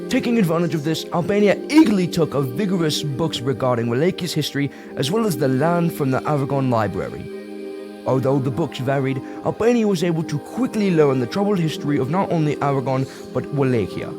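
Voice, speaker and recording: A man's voice: a little high, animated, a little fast. A man narrating a scripted fantasy audio drama as a storyteller. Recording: prominent music bed behind.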